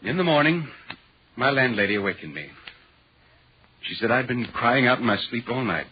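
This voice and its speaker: An actor playing a troubled but rational gentleman in a measured, theatrical 1940s radio horror drama. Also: medium pitch, expressive, slight background noise, lightly acted. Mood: brooding. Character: troubled, rational gentleman visitor narrating a ghost story. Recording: dull, muffled 1940s radio recording.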